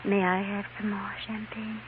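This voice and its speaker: A woman's voice: medium pitch, level tone, normal speed, close to an everyday voice. A woman playing an anxious, tipsy stage actress who feigns English gentility, with an affected, theatrical manner, in a 1950s radio western. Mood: tipsy, confessing. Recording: noisy, narrow-band 1950s radio recording.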